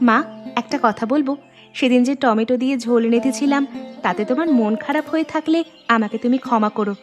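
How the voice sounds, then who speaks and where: মহিলার কণ্ঠে চড়া গলার লাজুক তরুণী বৌমা. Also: female voice, fairly high pitch, a little fast, natural voice. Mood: apologetic. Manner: earnest, apologetic, deferential. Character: young daughter-in-law, soft-hearted, shy, eager to please. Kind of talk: animated moral story dialogue. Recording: music behind the voice.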